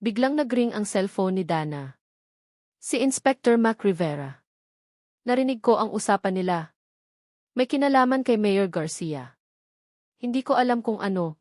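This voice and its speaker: A woman's voice: medium pitch, darkish tone, no room sound, studio quality. A woman reading a scripted first-person romantic drama as a story narrator.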